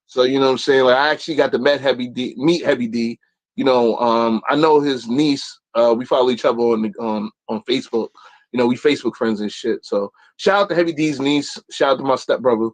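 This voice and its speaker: A man's voice: mid-range voice, fairly quick, poor audio. A man from New York with a New York accent, hosting a podcast in slangy, laid-back chat. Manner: slangy, laid-back, laughs between phrases. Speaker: podcast host.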